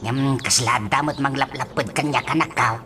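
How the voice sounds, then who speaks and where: A man's voice: medium pitch, normal speed, noisy background, lightly acted. A man playing a weary, complaining elderly father in an Ilocano radio drama, grumbling with plaintive exclamations. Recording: music behind the voice.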